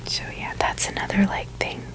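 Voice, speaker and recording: A woman's voice: normal speed, rough recording. Woman whispering an asmr review, chatty n rambly, pretty opinionated. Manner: informal, opinionated, loosely rambling. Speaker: ASMR video creator.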